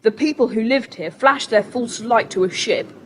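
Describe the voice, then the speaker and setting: A confident British boy played by a woman takes charge of his young companions in a children's audio adventure. The voice is fairly high, crisp and authoritative, set in long reverb with faint tape hiss. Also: normal speed, close to an everyday voice. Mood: explaining, assured. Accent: British English. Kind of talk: children's audiobook drama acting.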